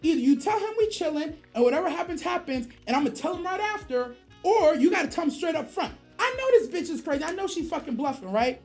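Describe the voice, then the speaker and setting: A woman's voice: high voice, expressive, quick pace, few pauses, a little mellow, faint music behind. A woman streamer telling a casual story in profane slang, acting out both sides of the dialogue.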